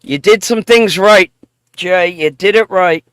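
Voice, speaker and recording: A man hosting a show, running a playful quick-fire question game with a guest, joking and laughing often. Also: sits high, fairly bright tone, smooth, dry recording. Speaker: show host.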